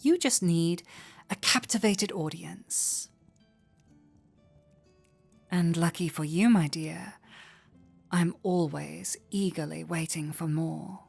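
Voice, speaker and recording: A woman playing a flirty, warm-hearted tavern innkeeper in an intimate roleplay audio drama, teasing coyly and playfully. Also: lowish voice, creaky, breathy edge, soft background music, heavily acted. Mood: playful, insistent. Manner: coy, playful, unhurried teasing.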